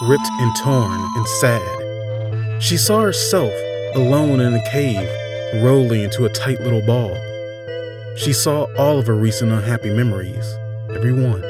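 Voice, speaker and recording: Male storyteller, medium pitch, theatrical and measured fairytale narration in short phrases, set over a music bed. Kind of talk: dramatic scripted fairytale narration. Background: instrumental music.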